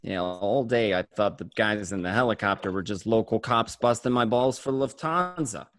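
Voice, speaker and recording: A man's voice: on the low side, normal speed, fairly bright tone, no room sound, clean studio sound, natural voice. An actor playing a hot-headed, anxious gangster in a radio play, telling his story in profane, stammering bursts. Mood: resigned recounting. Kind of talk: first-person crime narration and dialogue, radio play.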